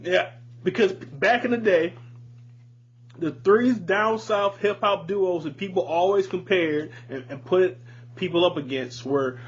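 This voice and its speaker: A man with a fairly high voice talks casually as a podcast co-host, slangy and freely swearing as he makes his points emphatically. The sound is dull and lo-fi, with a little noise behind him. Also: a little slow. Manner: slangy, profane, emphatic opinions. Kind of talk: casual music discussion. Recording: dull lo-fi audio, audible background noise.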